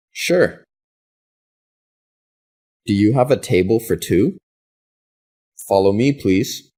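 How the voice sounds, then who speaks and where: A man with a fairly low voice reads English practice questions and their answers. He speaks very slowly and deliberately, spacing each line out for learners. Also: darkish tone. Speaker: English lesson reader.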